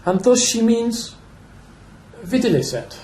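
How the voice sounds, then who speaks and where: Quipping noble onlooker played by an amateur, slow, lively intonation, mellow tone, Shakespearean asides. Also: mid-range voice. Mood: mocking. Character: mocking noble spectator quipping at a play. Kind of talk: amateur Shakespeare radio play acting. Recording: echoey room, faint background noise.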